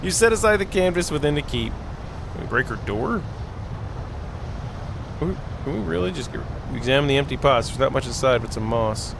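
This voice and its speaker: A man's voice: mid-range voice, expressive, brisk, gravelly, pauses often, noisy background. A man hosting a gaming video, reading narration aloud with playful, jokey improvised asides.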